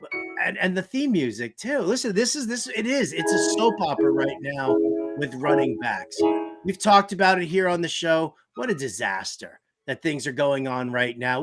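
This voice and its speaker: A man with a fairly high-pitched voice hosts a casual sports talk show. He delivers comedic commentary with theatrical flair, often hammering a word or phrase twice for emphasis. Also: a bit bright. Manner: joking, theatrical, emphatic repetition. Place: shed used as home studio.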